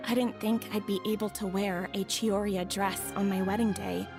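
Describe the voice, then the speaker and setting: A woman's voice: medium pitch, steady tone, moderate pace, music in the background, close to an everyday voice. A woman voicing a polite, earnest young bride-to-be in a video game. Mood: grateful. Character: grateful young bride-to-be, gentle.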